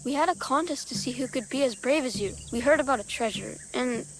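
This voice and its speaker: High-voiced, chatty village child. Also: female voice, lively intonation, a little fast, a crowd in the background. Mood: eager, worried. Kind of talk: dramatic video game acting.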